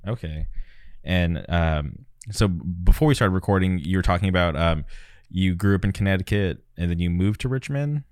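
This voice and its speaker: Low-voiced, smooth male podcast host, hesitant and full of ums. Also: normal speed, no room sound, clean studio sound. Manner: soft-spoken, hesitant, many ums. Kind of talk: casual podcast interview.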